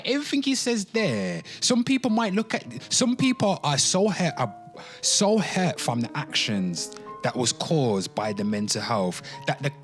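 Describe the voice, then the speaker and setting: Theatrical man with wide, swooping pitch. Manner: theatrical, drawn-out words and exclamations; informal and sweary, addressing listeners directly. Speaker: host of a pop culture commentary show. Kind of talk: dramatic reading mixed with off-the-cuff ranting.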